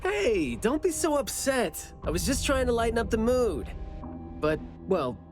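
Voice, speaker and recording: An actor playing a chatty, teasing, curious young investigator in a game cutscene, with a breezy, wry manner. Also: male voice, extremely high pitch, big pitch swings, unhurried, full character voice. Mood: playful. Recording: music behind the voice.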